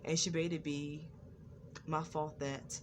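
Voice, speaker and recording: A woman's voice: low voice, level tone, takes it slowly, noisy background. A woman vlogger with a stutter, telling a personal story and circling back over the same phrases again and again. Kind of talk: personal testimony vlog.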